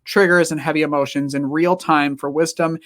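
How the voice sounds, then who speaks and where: Upbeat podcast host from Wisconsin. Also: female voice, low voice, fairly even intonation, a little fast, a bit bright. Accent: northern Wisconsin. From northern Wisconsin. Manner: enthusiastic, laughing. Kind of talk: casual podcast chat.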